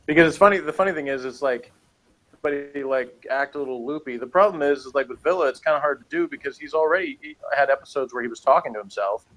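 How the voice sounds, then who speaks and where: Man, mid-pitched voice, casual review chat, rambling, opinionated. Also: quick pace, fairly bright tone, rough recording.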